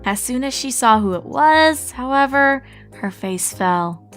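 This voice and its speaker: A woman reading a fan story aloud as narrator, with steady storytelling narration. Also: sits high, a little slow, soft background music. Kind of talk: fanfic audiobook reading.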